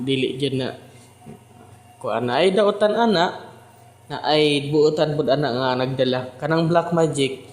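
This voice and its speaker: A man with a Visayan Philippine accent chatting casually as a host giving his commentary. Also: medium pitch. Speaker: host commenting on audio drama. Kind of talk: casual chat. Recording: echoey, reverberant room.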